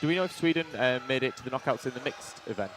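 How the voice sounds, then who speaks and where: A man with a loud voice doing colour commentary on a headset in a commentary box, chiming in with quick agreement and reading out viewer comments. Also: medium pitch, expressive. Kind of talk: live sports commentary. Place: commentary box beside an indoor court.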